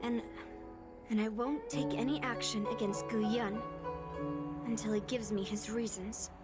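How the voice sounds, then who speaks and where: A woman voice-acting an earnest, burdened young swordswoman in a game, speaking in measured dramatic lines. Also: on the high side, steady tone, lightly acted. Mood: calmer. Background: background music.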